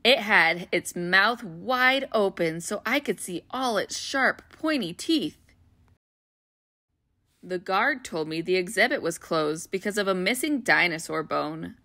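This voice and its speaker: Female storyteller, bright and clear, warm read-aloud manner for kids, dry close mic. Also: medium pitch, unhurried, dry recording. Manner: gentle, expressive, child-friendly reading. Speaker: children's book read-aloud host.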